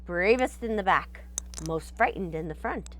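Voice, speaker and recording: Storybook goat, bold and clever, played by a woman; emphatic, take-charge delivery, lively pitch. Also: measured pace, slight background noise, low-quality recording. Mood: bossy.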